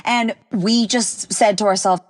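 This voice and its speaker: A young woman casually telling a personal anecdote, animated and chatty with lots of fillers. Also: unhurried, no echo. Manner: animated, jumps high when quoting people. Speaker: storyteller.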